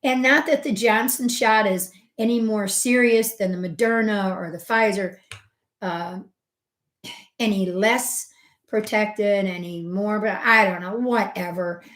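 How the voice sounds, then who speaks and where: A middle-aged woman, a homeopath hosting her own health channel, telling a personal story in a chatty, conversational way with many fillers and asides, her voice swinging from loud emphasis to soft asides. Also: medium pitch, a little slow. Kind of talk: casual personal storytelling to camera. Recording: roomy echo.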